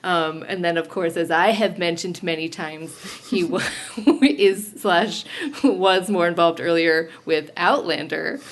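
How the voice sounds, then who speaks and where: Young woman cohosting a podcast, kinda low voice, rambly and laughing a lot. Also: fairly even intonation, a little slow. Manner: chatty, laughs often, rambling with fillers. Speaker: podcast co-host. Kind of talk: casual chat.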